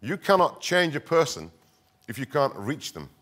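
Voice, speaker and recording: A middle-aged man from the north of England, speaking earnestly at a conference in short, deliberate phrases with emphatic repetition. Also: mid-range voice, big pitch swings, fairly bright tone. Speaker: conference speaker, counter-extremism expert. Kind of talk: conference presentation, semi-improvised public speaking.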